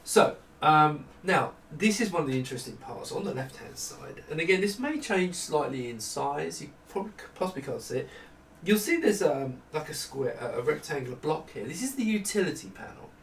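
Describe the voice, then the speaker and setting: A man's voice: fairly high pitch, sing-song, vocal fry, fairly bright tone. Man in a roomy, distant recording. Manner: halting, thinking aloud, explanatory. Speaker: maker presenting a product prototype. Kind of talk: casual unscripted product walkthrough. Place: small home office room. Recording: roomy, distant mic, faint noise.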